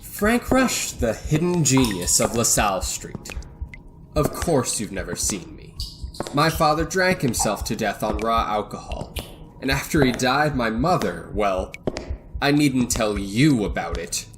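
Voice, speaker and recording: An actor playing a bitter, vengeful financier in a radio thriller, cold and deliberate with surges of excitement. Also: male voice, mid-range voice, noisy background. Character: bitter vengeful self-made financier holding his captives. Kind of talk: old-time radio thriller acting.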